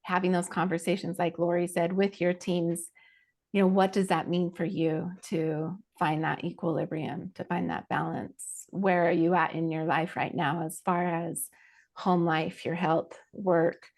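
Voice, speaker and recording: A woman's voice: lowish voice, flat delivery, unhurried, creaky, darkish tone. A woman giving conversational advice as a panel guest and coach, in an earnest, reflective way.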